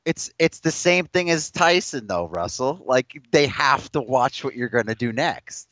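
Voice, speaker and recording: A man's voice: mid-range voice, expressive, a little slow, a bit bright, dry recording. A man in his late thirties, a podcast guest in a heated debate, talking bluntly and combatively and cutting in over the other speaker. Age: 30s. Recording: dull, dry lo-fi sound.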